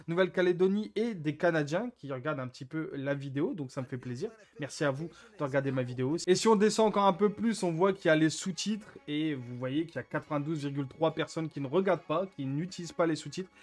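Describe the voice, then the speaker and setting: Guy youtuber, kinda high voice, chatting casually to his subs, bit of music in the back. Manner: informal, conversational, addresses viewers as mates. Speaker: small YouTuber, vlogger. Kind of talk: casual vlog talk to subscribers, commenting on channel stats.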